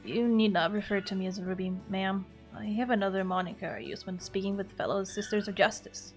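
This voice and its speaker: A woman voicing a proper, formal young magical girl, pitched deeper than her own voice. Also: fairly even intonation, a little fast, soft background music, natural voice. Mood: prim, formal. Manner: formal and stiff, voice pitched low. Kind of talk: reading game dialogue aloud.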